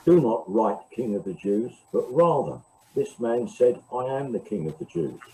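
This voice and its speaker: A man plays a hostile chief priest in a Bible drama. The husky voice is insistent and expressive, heard at some distance from the mic. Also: lowish voice, normal speed. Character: chief priest, hostile accuser demanding crucifixion. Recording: distant from the microphone.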